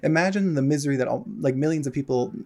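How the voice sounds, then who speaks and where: Fast-talking American guy chatting. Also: fairly high pitch, gravelly, crowd noise. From United States. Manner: rambling, many fillers and restarts. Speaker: podcast co-host. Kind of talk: casual podcast chat.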